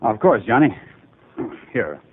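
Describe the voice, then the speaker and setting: Associate, male: earnest, puzzled, lively intonation, old radio drama style, narrow tinny sound. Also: mid-range voice, slow, a little hiss or hum. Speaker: supporting actor in a radio drama. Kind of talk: old-time radio acting. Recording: tinny, band-limited old radio recording.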